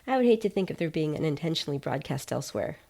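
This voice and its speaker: A woman's voice: low-pitched, big pitch swings, rapid-fire, creaky, mellow. A woman narrating a scripted first-person story as a podcaster, in a wry, conversational way.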